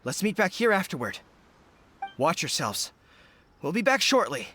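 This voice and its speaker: An actor playing an earnest young resistance captain who speaks formally and defers to orders. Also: male voice, high-pitched, big pitch swings, moderate pace, sharp tone, slight background noise, exaggerated voice. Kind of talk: video game voice acting.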